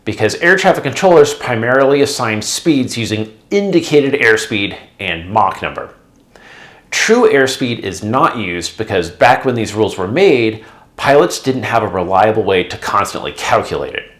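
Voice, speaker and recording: A man's voice: on the low side, animated, moderate pace, fairly bright tone. A man narrating a scripted explainer in a didactic, conversational way. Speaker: presenter, former air traffic controller.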